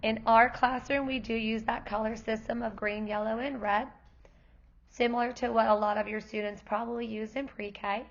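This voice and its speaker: Woman, kindergarten teacher, hoarse from losing her voice, friendly parent-night explainer, gravelly tone, dull lo-fi recording. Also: a little high, steady tone, moderate pace. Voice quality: gravelly, worn voice.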